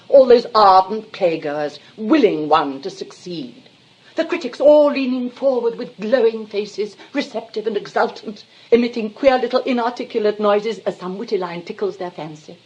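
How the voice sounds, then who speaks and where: A woman's voice: fairly high pitch, highs cut off. A woman playing a vain, self-dramatising retired actress and mother in a stage comedy, with a British accent and a grand, histrionic manner. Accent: British English. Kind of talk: theatrical stage comedy acting.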